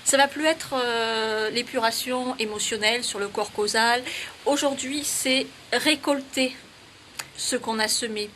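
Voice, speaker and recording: A woman's voice: a little high, fairly bright tone, a little hiss or hum. A woman giving spiritual astrology guidance and leading positive affirmations in an emphatic, commanding way, with frequent fillers. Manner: emphatic, lots of "hein" and "euh", commanding affirmations. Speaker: spiritual channeler.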